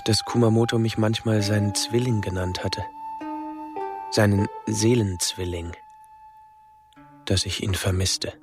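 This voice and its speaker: An actor playing a withdrawn young male recluse, narrating introspectively in short, halting phrases in a radio drama. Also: lowish voice, steady tone. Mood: melancholy. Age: young adult. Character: withdrawn young male recluse confessing his hidden life. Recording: music behind the voice.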